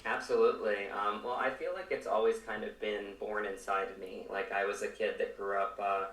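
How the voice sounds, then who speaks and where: Echoey, low-voiced man in casual interview. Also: steady tone, fairly bright tone, recorded from a distance, slight background noise. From Virginia, United States. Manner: halting, peppered with you know. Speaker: actor, podcast guest. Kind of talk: casual conversational interview answers. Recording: roomy echo, away from mic.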